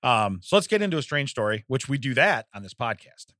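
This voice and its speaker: A man's voice: medium pitch, very animated, fairly quick, sharp tone, dry recording, clean studio sound. A man in his forties co-hosting a comedy podcast, chatting casually and reading out odd news with rambling, jokey asides. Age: 40s.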